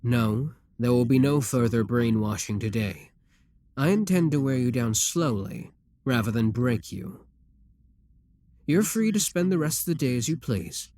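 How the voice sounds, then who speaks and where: A man voicing a possessive, scheming demon king who talks to the listener in a calm, coaxing, self-assured way. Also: mid-range voice, unhurried, no room sound, close to an everyday voice. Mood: musing. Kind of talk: audio roleplay addressed to the listener.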